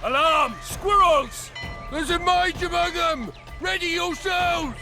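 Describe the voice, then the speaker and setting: An actor playing a frantic, loud guard raising the alarm in a fantasy video game. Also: male voice, very high voice, slow, over music, heavily acted. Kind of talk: dramatic video game acting.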